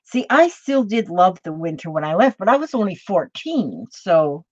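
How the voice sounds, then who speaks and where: A woman's voice: on the low side, very animated, normal speed, darkish tone. A woman in her late sixties, from Minnesota, chatting casually with viewers while she crafts, rambling along with fillers and restarts. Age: 60s. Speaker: crafting video host. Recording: dull, slightly lo-fi sound.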